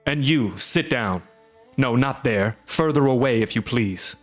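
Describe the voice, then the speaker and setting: A man voicing a loud, gruff middle-aged castellan who speaks emphatically with sharp rises. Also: mid-range voice, unhurried, faint music behind, lightly acted. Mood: stern. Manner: exclaiming, emphatic, rising.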